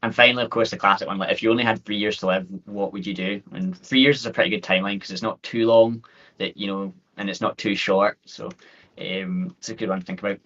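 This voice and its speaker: Fast-talking Scottish man on a call. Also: fairly low pitch, steady tone, a bit bright. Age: 30s. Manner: quick, upbeat, many fillers and restarts. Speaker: guest speaker. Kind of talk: webinar presentation. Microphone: video call. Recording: dull lo-fi call audio.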